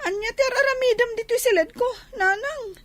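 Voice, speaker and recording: A woman's voice: extremely high pitch, a little fast, a bit bright, slight background noise. A woman playing a rebellious, sullen young village daughter with an Ilocano accent, defiant and whiny, in radio drama style. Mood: sullen, annoyed. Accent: Filipino, Ilocano. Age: young adult. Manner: defiant, whiny, talking back.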